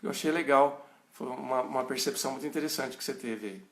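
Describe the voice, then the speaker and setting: A man's voice: medium pitch, quick pace, fairly bright tone, roomy sound. A middle-aged pastor with a rural São Paulo and Minas Gerais Brazilian accent, teaching informally and often checking in with his listeners. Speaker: pastor teaching a Bible study. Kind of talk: informal teaching answering viewers' questions.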